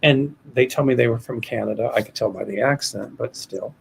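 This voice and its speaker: A man's voice: mid-range voice, fairly quick, creaky, a little hiss or hum. An older American man hosting a livestream as a psychic, reading viewer questions in a hesitant, rambling way with many restarts. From United States. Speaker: psychic host of a livestream show.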